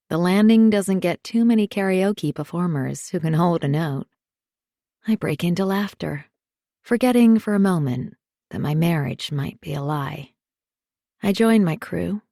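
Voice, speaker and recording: Gravelly low-voiced woman narrating an audiobook. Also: darkish tone, no echo, studio quality. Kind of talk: first-person scripted narration.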